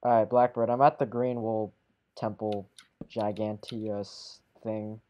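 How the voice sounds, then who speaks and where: A man's voice: fairly low pitch, flat delivery, muffled recording, a little hiss or hum. A man playing a video game with friends, rambling and correcting himself as he talks. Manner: rambling, self-correcting, quick exclamations. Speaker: game player. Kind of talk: casual gaming chat.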